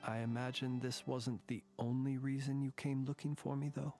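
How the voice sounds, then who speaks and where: An actor voicing a formal, world-weary soldier-scholar who talks in a wordy, dryly wry way. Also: male voice, mid-range voice, little pitch movement, normal speed, warm tone, faint music behind, natural voice. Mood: resolute. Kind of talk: game voice acting.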